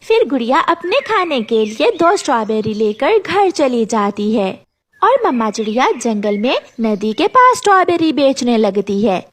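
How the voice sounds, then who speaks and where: A woman narrating a scripted children's story. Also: a little high.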